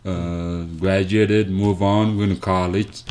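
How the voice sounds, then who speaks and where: A man from South Sudan telling his life story as an interview guest, speaking haltingly with many fillers and restarts. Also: low voice, flat delivery, darkish tone. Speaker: guest, nonprofit founder.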